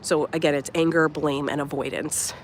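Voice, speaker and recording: A woman's voice: low-pitched, steady tone, normal speed. A young woman talks to her live-stream viewers while walking outside, her voice a touch nasal from a cold. She sounds earnest and reflective and drifts through plenty of "um"s and "like"s. A faint outdoor hum sits behind her. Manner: warm, earnest, reflective, many fillers like "um" and "like". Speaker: life coach and channel host. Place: outdoors at a resort at sunrise.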